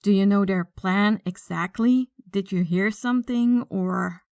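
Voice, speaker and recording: A woman playing a commanding, coolly threatening female mafia boss in an audio roleplay, speaking deliberately and with authority. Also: mid-range voice, vocal fry, darkish tone, no echo, studio quality. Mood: coolly businesslike. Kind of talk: ASMR audio roleplay acting.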